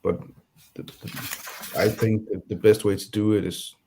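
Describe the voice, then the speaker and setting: A man with a Danish accent, speaking English as a second language, talking about his beat production as a guest in a casual interview, pausing often to find his words. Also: on the low side, little pitch movement, gravelly, mellow, slight background noise. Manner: halting, searching for words.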